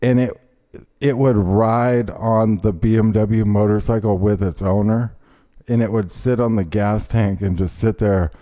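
A low-voiced man hosting a podcast, with a mellow, unforced tone. He rambles through casual stories, stopping and restarting with lots of ums. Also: fairly even intonation, measured pace. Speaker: podcast host. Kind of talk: casual chat and ad read.

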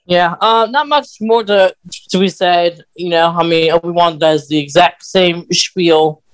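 High-pitched man chatting on a podcast. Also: measured pace, clean tone. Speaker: podcast co-host. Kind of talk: casual podcast chat.

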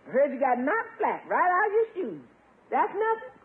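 Casting: blunt, folksy female cook, mock-sympathetic tease, very high and animated, old radio sitcom. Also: moderate pace, a little hiss or hum, full character voice. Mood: scolding tease. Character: outspoken, teasing female household cook. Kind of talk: 1950s radio situation comedy acting. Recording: tinny 1950s radio recording.

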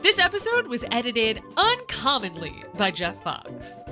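A woman's voice: a little high, wildly swooping pitch, measured pace, music in the background. A woman hosting a podcast in a bubbly, chatty, upbeat way. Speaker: podcast host. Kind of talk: casual podcast chat.

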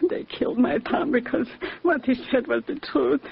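A high, quavery-creaky old mother, weak with grief and sleepiness. She is husky and tearful, heard through a dull vintage radio recording. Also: fairly even intonation, moderate pace, slight background noise. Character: grieving, drowsy old mother in a hospital bed. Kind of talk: old radio drama acting. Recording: muffled old radio broadcast.

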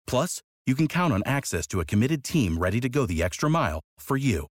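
Male American commercial narrator, assured, lively inflection, dry studio sound. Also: mid-range voice, brisk, dry recording. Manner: assured, promotional. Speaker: advertising voice-over. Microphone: close studio microphone.

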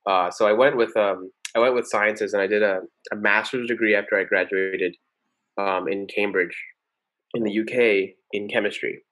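A man in his twenties from Venezuela, a medical student chatting as a podcast guest, relaxed and full of fillers. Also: fairly low pitch, normal speed, low-quality recording. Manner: relaxed, many fillers and restarts. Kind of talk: casual interview chat.

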